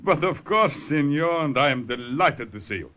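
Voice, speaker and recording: An actor playing a deferential Italian army major with polite, formal speech in an old-time radio drama. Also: male voice, on the high side, expressive, moderate pace, sharp tone. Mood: courteous, obliging.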